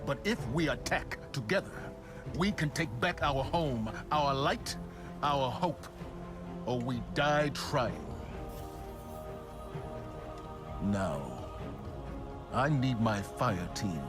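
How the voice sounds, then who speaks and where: Stern war leader: unhurried, rising and falling rallying oratory, resolute, over dramatic music. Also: male voice, mid-range voice, animated. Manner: grave, deliberate, oratorical. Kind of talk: scripted video game cutscene acting.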